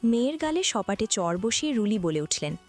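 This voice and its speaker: A woman's voice: fairly high pitch, very animated, brisk. A woman reading scripted story narration as the narrator. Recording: music behind the voice.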